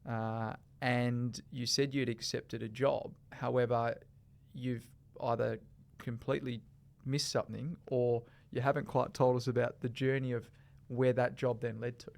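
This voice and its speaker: A man with a gravelly, creaky edge to his voice hosts a podcast interview. He speaks in a friendly way, stumbling and repeating words as he builds up long questions. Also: mid-range voice, level tone, measured pace, darkish tone. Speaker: podcast host.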